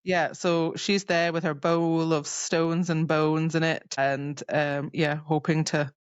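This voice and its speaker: Female podcast co-host, lower voice, informal recap style, voices the dialogue as she goes; close, dry, slightly dull recording. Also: slow, clean tone, no room sound. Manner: animated retelling, acting out characters' dialogue. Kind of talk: casual chat recapping a fantasy novel.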